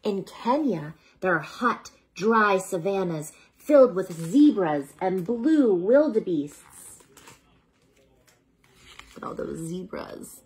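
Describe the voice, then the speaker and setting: A woman reading a children's bedtime story aloud in an unhurried, expressive way, as if speaking to a child, with a slightly husky voice. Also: medium pitch, a little hiss or hum, rough recording.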